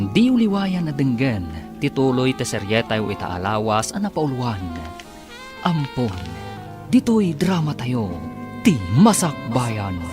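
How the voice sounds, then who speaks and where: A man narrating and announcing a radio drama with a measured, scripted delivery. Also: mid-range voice. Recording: radio drama with music under the voice.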